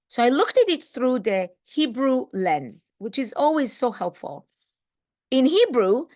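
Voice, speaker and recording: A woman giving a reflective spiritual teaching talk, speaking emphatically with rhetorical questions and pauses for effect. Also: fairly high pitch. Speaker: religious teacher.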